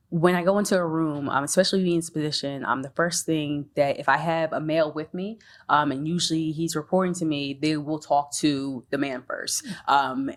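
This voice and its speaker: Low, calm woman panelist. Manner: calm, steady, many ums. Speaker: panelist, agency leader. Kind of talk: panel discussion.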